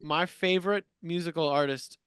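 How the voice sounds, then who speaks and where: Chatty young man with a smooth voice. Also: fairly high pitch, moderate pace, a bit bright, dry recording, studio quality. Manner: eager, chatty, laughs easily. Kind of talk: casual chat.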